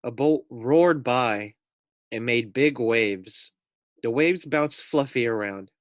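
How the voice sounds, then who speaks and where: A man reading a children's storybook aloud like a teacher, pausing for casual asides and questions to his young listeners. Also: mid-range voice, no room sound, clean studio sound.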